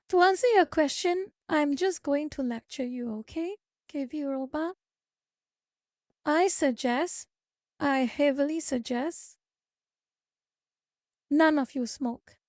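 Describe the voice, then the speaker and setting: A woman's voice: sits high, slow pace, pauses often, darkish tone, no echo, clean studio sound. A woman streaming live, chatting casually with viewers, reading their comments and lecturing them. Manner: chatty, lecturing, reads chat aloud. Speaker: VTuber streamer.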